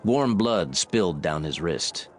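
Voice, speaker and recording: Male narrator, low register, scripted dramatic audiobook storytelling, audible ambience behind. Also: animated, slow pace, a little hiss or hum.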